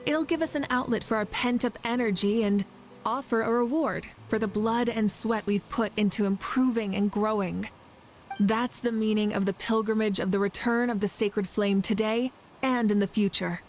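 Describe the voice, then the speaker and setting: A woman voicing a confident, playful leader of a warrior nation in a video game, calm and dryly teasing. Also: mid-range voice, normal speed, faint music behind, natural voice.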